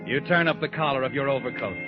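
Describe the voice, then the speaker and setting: A man's voice: medium pitch, fast talker, lightly acted. A man voicing the Whistler, taunting narrator. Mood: ominous. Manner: taunting, addresses the listener as "you". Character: the Whistler, sinister all-knowing male narrator. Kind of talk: 1940s radio drama narration. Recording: dull old radio broadcast with music.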